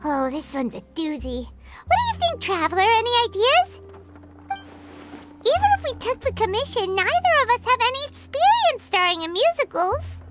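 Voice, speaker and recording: Paimon, a squeaky bubbly fairy companion. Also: female voice, very animated, normal speed, loud music behind the voice. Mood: chipper. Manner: bubbly, talks about herself in the third person. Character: tiny floating female fairy-like travel companion, chipper. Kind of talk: video game voice acting.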